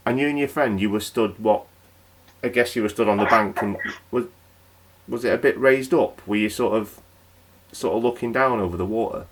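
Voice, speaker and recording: A man's voice: fairly low pitch, normal speed, slight background noise, close to an everyday voice. An actor playing a chatty, wry male local radio night host. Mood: curious, chatty.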